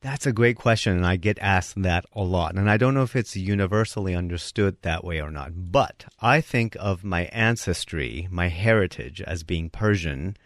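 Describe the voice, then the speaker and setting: Low-voiced man from Iran, explaining informally. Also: normal speed, few pauses, no room sound, studio quality. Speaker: guest, cooking class teacher. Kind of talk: conversational explanation. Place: studio.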